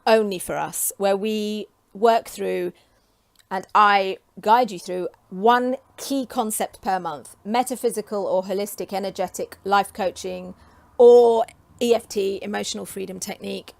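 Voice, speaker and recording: A woman in her forties from England talks casually to camera, chatty and enthusiastic with frequent ums, her voice getting hoarse. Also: medium pitch, measured pace, no echo. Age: 40s. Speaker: creative coach and vlogger.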